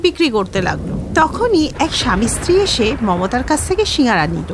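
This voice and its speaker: A woman narrating a storybook cartoon with expressive storytelling. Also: fairly high pitch, brisk, creaky, noisy background.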